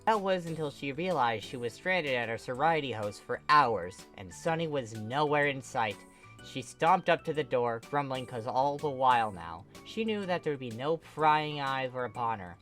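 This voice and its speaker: Fan fiction narrator, mid-pitched voice, bright and punchy, expressive scripted delivery, faint music bed. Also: normal speed. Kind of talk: dramatic scripted narration of a comic story.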